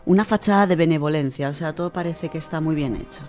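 Low-voiced woman explaining on radio. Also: quick pace, a little mellow, faint music behind. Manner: thoughtful, with frequent hesitations and restarts. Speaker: radio host presenting a book segment.

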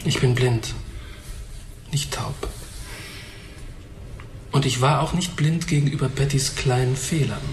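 An actor in a radio drama playing a heavyset young blind man, bitterly candid, telling things gravely and deliberately. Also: mid-range voice, fairly even intonation, slight background noise, lightly acted, low-quality recording.

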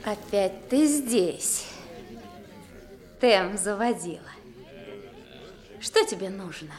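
An actress playing a brisk, knowing lighthouse woman in a 1960s radio play. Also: mid-range voice, expressive, a little mellow. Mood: scolding.

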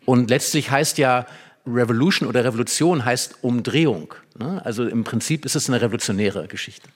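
A man with a mid-pitched voice talks as a literary expert in a conversation. He explains ideas step by step, offering illustrations and checking in with short tag questions. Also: brisk, husky.

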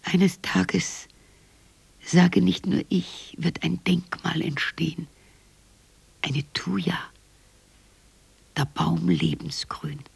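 Croaky, breathy, slow old woman. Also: on the low side, steady tone, a little mellow, dry recording, full character voice. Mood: wistful, solemn. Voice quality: husky, breathy, gravelly. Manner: incantatory, fragmented phrases with repetitions. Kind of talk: radio drama monologue.

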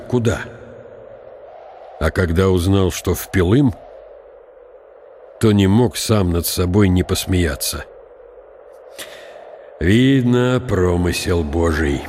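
Old field marshal thinking to himself, proud n ironic, slow n deliberate, big hall echo, radio play. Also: male voice, sits low, darkish tone, slight background noise. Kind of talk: radio drama inner monologue. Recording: long reverberant hall sound.

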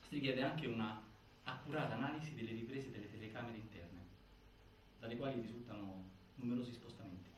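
A man performing as a solemn theatre narrator, reciting a case file in formal, deliberate, declamatory phrases. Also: medium pitch, lightly acted. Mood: grave, insistent. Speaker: narrator in a stage inquiry play. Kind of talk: scripted theatrical documentary narration. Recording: echoey, distant, faint noise.

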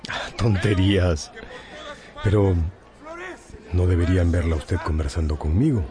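A suave womanizing bachelor in a radio drama, with a very low voice. He is insinuating and gallant, with a crowd murmuring behind him. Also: a little slow. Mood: wistful seduction. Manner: smooth, insinuating, theatrically gallant. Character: suave, rich, cynical womanizing bachelor seducer.

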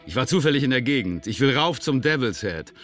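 An actor playing a cocky, scheming outlaw in a German western radio drama, glib, sly and needling. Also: male voice, mid-range voice, lively intonation, a little fast, fairly bright tone, faint music behind, close to an everyday voice. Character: slick, scheming outlaw swindler, cocky and vengeful.

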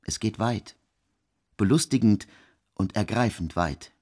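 An actor playing a male composer who speaks his cryptic letter in deliberate, declamatory fragments, in a literary radio drama. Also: on the low side, animated, darkish tone, slightly rough. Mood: cryptic, intent.

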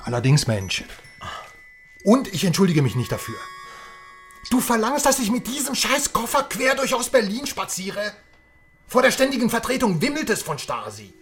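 Brash, very animated male journalist. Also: high voice, moderate pace, a little hiss or hum, heavily acted. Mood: agitated, indignant. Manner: colloquial, swearing, theatrical swings from mutter to outburst. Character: brash, excitable male magazine journalist, cynical and nosy. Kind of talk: radio crime drama dialogue.

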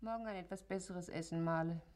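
An actress playing an anxious, guilt-ridden village wife in her forties in a radio play, brooding and suspicious, with a husky, creaky voice. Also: on the low side, fast talker, darkish tone, close to an everyday voice. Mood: curt, suspicious. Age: 40s. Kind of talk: German radio play acting.

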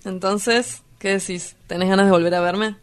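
A woman's voice: mid-range voice, steady tone, normal speed, natural voice. A woman with an Argentine accent playing a cynical, shameless young male lover in a radio drama. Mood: coaxing.

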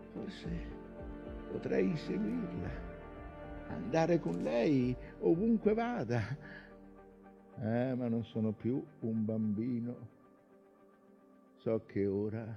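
A haunted, dreamy son of a sailor in an intimate stage monologue. He speaks slowly and hesitantly, with a creaky, dark voice. The sound is dull and lo-fi. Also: mid-range voice, full character voice. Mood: wistful. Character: wistful, haunted sailor's son recalling his childhood. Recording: dull lo-fi sound, faint music.

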